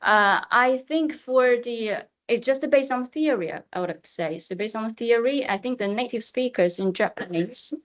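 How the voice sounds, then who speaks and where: A woman's voice: fairly high pitch, normal speed, few pauses. Hesitant woman speaker answering questions. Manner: hesitant, repeats herself. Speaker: conference speaker.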